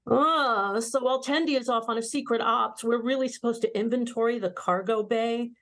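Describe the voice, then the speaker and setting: A woman's voice: fairly high pitch, moderate pace, a bit bright. A woman playing a brash, sardonic young starship officer in an amateur sci-fi comedy table read. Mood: sarcastic. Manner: sardonic, blunt.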